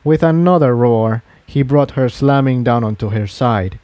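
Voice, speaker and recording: A man's voice: medium pitch, unhurried, mellow, no echo. A man reading an adventure story aloud as a scripted dramatic narration. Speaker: fan fiction reader and narrator.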